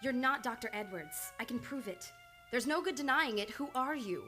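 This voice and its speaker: A composed woman doctor voiced by an actress in a vintage radio play, her voice on the high side. She questions firmly and soothes, with faint music underneath. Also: lively intonation, normal speed, sharp tone. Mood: confronting. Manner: firm, reassuring, questioning. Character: composed, probing female doctor named Constance calming a patient. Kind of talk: old-time radio drama acting.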